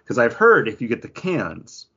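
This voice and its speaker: A man's voice: medium pitch, wildly swooping pitch, normal speed, a bit bright, breathy edge, dull audio. A man hosting a podcast in a casual, chatty style. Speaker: podcast host. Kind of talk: casual chat.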